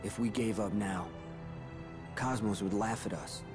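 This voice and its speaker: An actor playing a resolute warrior in a video game, under loud dramatic music. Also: male voice, lowish voice, steady tone, unhurried, lightly acted. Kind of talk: video game cutscene acting.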